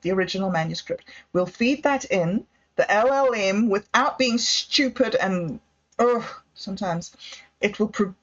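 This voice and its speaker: A woman talks alone on a livestream in a gushing, meandering way, restarting her sentences and trailing off. Her voice sits at an ordinary middle pitch. The sound is a little dull and lo-fi. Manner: effusive, rambling, self-interrupting. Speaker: livestream host. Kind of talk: rambling solo livestream chat.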